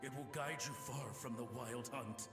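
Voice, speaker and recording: An actor playing the ghost of a fallen soldier in a video game, urgent and declamatory, in cavernous reverb. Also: male voice, medium pitch, steady tone, normal speed, over loud music, close to an everyday voice. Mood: resolute. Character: ghost of a fallen male soldier, desperate, valiant. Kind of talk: video game character acting. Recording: echoey long hall reverb.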